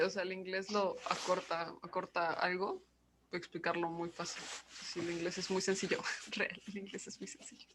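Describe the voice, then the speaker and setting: A young woman student speaks up in an online class. Her voice sits a bit low, and she circles her point with fillers. There is faint noise in the background. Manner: rambling, full of "o sea". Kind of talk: casual class chat.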